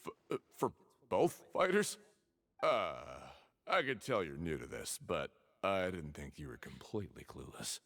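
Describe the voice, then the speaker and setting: An actor playing a brisk, slightly condescending fight ticket seller in a video game, with a showy salesman's delivery. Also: low-pitched, sing-song, gravelly, fairly bright tone, heavily acted. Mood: baffled, condescending. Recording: game music behind.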